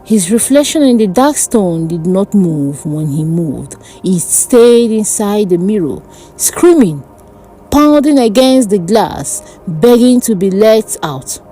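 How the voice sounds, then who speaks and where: A woman narrating a dark folk tale as a storyteller, in a deliberate, theatrical manner. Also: mid-range voice, big pitch swings, soft background music, poor audio.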